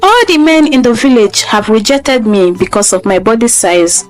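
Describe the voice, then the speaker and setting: A woman's voice: fairly high pitch, lively intonation, normal speed, soft background music, natural voice. A woman voicing a rejected young village woman in a folktale, speaking in an emotional, imploring way. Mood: pleading. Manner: emotional, pleading.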